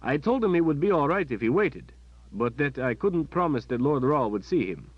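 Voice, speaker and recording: A man voicing a kindly, gruff middle-aged guard captain who sounds bluff and self-conscious. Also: a little high, sing-song, moderate pace, no echo, dull audio, pitched above the performer's own voice. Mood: friendly.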